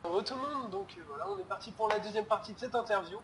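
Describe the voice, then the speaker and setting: A man's voice: high voice, expressive, a bit bright, roomy sound. A man from Italy hosting a casual interview, joking and steering the questions. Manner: joking, quick, leads the questions. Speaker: interviewer, channel host.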